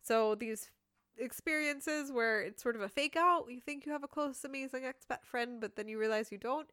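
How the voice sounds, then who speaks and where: A woman's voice: high voice, moderate pace, pushed and bright, clear, resonant tone, dry recording, studio quality. Giggly rambling woman podcast host. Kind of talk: casual chatty monologue.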